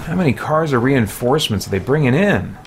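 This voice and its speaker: A man's voice: medium pitch, lively intonation, fairly quick. A man giving casual live commentary as he plays a game, muttering reactions and mild exclamations. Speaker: gaming streamer and host. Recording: game gunfire and noise behind voice. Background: video game ambience and gunfire.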